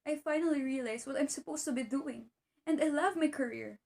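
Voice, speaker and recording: A high female voice from a woman acting plays a devoted teacher and wife who is hurting. The delivery is earnest and pleading, in the manner of an amateur one-act drama. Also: a little fast, gravelly, a little mellow. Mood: earnest, insistent.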